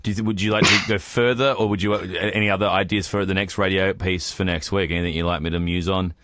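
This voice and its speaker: A man's voice: sits low, fairly even intonation, fast, very noisy. A man co-hosting a podcast in casual creative discussion, often repeating and rephrasing himself. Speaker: podcast co-host.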